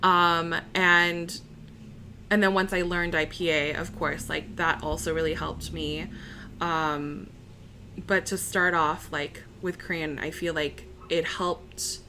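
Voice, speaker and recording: Slow, bright-toned young woman chatting. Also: lowish voice, a little hiss or hum. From United States. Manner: relaxed and chatty, many fillers, laughs easily. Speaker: interview guest, opera singer. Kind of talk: casual conversational interview.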